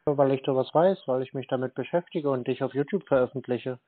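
A man's voice: medium pitch, brisk. A man in a casual phone argument, giving terse, unfazed contradictions. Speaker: scam baiter. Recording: dry, tinny phone-band sound.

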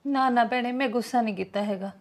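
A woman's voice: a little high, quick pace, a little hiss or hum, lightly acted. A woman playing a polite young woman who has come to help her sick sister and speaks reassuringly.

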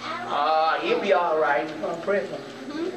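A man's voice: on the high side, sing-song, unhurried. An older man preaching an impassioned, colloquial sermon, emphatic and repetitive, stumbling over words in bursts. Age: older, 60s or 70s. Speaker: pastor preaching. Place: indoor church sanctuary, pacing before congregation. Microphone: distant camera in the room. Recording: echoey church hall from far off, loud congregation.

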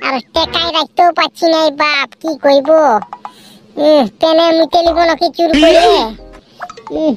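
A man voicing a brash, boastful young village man in a comic cartoon, with a Purulia Bengali accent and a pitched-up, slangy delivery. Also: flat delivery, a little slow, over music. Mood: desperate, grumbling.

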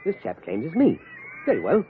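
An actor playing an indignant, well-spoken British agent in an old-time radio adventure, formal and self-assured. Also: male voice, a little high, huge pitch swings, a little fast. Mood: accusing, assured. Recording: muffled, noisy vintage radio recording.

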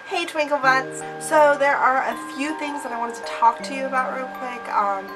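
High-voiced young woman vlogging, slightly distant mic. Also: fairly even intonation, moderate pace, over loud music. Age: 20s. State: slightly off voice from a cold. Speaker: vlogger, channel host. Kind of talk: casual vlog chat to viewers. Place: small cluttered room at home.

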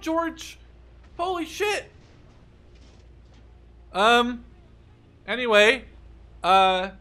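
A young man giving casual, profanity-laden gameplay commentary, excitable and often talking to himself as he plays. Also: high-pitched. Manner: excitable, swears freely, talks to himself. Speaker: gaming YouTuber. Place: small room at home.

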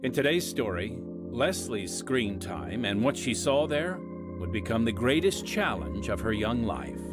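Animated man hosting, music behind. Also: mid-range voice, unhurried. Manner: dramatic storyteller delivery. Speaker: host and narrator. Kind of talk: scripted podcast narration.

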